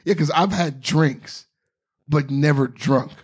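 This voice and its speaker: A man's voice: fairly high pitch, slow, breathy edge. A man co-hosting a podcast, teasing his friends with dry jokes in casual chat. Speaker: podcast co-host.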